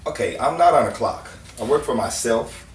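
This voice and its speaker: A man's voice: medium pitch, sing-song, moderate pace, lightly acted. A man acting a playful, dreamy ladies' man painter in a 1950s Hollywood-style audio drama, jaunty and bantering. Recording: roomy, distant mic, faint background noise.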